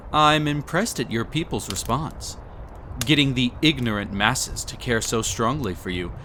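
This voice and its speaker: Man acting a smug, possessive prince, slow and swooping. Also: mid-range voice, a bit bright, slight background noise. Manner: silky, condescending, theatrical, with breathy chuckles. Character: possessive, smug young prince with hypnotic magic. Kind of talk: scripted romantic audio roleplay.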